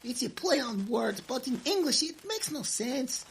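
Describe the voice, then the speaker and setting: A woman's voice: high-pitched, lively intonation, normal speed, slight background noise. A woman playing a meek, eager young male underling with an Eastern European accent in a comic audio drama. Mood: matter-of-fact. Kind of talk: comedic audio drama acting.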